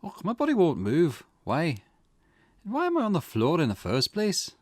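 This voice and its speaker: Man putting on a high, bewildered Scottish woman. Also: wildly swooping pitch, moderate pace, no echo, clean studio sound. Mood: alarmed, confused. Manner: bewildered, questioning, dramatic. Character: confused amnesiac woman waking in pain, visual novel protagonist. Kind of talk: video game character voicing.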